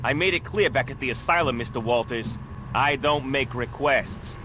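An actor playing an unyielding federal chief in a video game, measured and authoritative. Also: male voice, mid-range voice, noisy background, natural voice. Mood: stern, commanding. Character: commanding, unyielding federal law enforcement chief. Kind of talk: video game cutscene acting.

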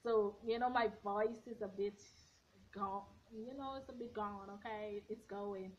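Woman, twenties, slightly hoarse, blunt and sassy, bedroom vlog, muffled lo-fi audio. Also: mid-range voice, a little slow. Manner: sassy, blunt, animated hand gestures. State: a bit hoarse. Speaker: reality TV recap vlogger. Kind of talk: casual opinionated recap. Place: bedroom at home, indoors. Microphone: front camera at arm's length. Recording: dull lo-fi webcam audio.

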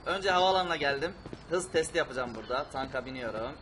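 A man's voice: medium pitch, expressive, quick pace. A man doing playful, exclamatory play-acting commentary on a video game for a children's channel. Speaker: host of children's gaming channel. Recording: loud vehicle noise behind voice. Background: game engine and vehicle sounds.

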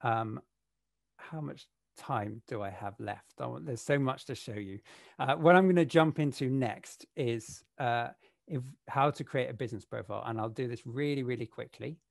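Halting man with a gravelly voice. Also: medium pitch, no echo, clean studio sound. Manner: halting, many fillers and restarts. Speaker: webinar presenter for a sourcing platform. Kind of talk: screen-share walkthrough demo.

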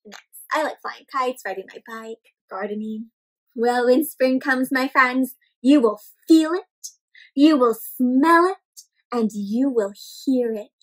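A young woman hosting an interactive picture-book read-aloud for small children, expressive and animated, asking them questions as she goes. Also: sits high, takes it slowly, low-quality recording. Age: young adult. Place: indoor room at home with plants and bookshelf.

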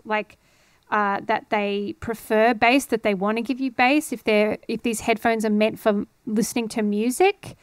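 A woman's voice: on the high side, a little mellow, smooth, no echo. A woman in her thirties explaining things in a casual, encouraging, conversational tutorial style, speaking close into a studio microphone. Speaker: host of an audio tutorial series. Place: indoor home studio. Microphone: close studio microphone on boom arm with headphones.

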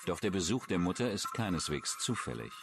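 A man's voice: low voice, big pitch swings, a little fast, vocal fry. A man reading scripted true-crime documentary narration as the narrator. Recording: music under the voice. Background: music.

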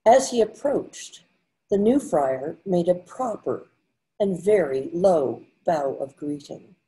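An older woman narrating a scripted story in a measured read-aloud manner. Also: fairly low pitch, animated, warm tone, poor audio. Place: indoors at home, video call.

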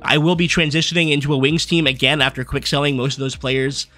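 A young man at his desk races through a casual commentary, tumbling from one point to the next with plenty of fillers. His voice is a little high and bright, hoarse because he is losing it. Faint music plays underneath. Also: fairly even intonation. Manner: fast, rambling card-by-card rundown with fillers. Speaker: gaming YouTuber. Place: small room at a desk.

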